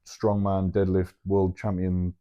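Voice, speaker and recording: A man co-hosting a casual podcast, full of swearing and jokes. His voice sits low with a warm, dark tone. He talks easily, bantering with his mate. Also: monotone, unhurried, clean tone, muffled recording. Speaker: podcast co-host.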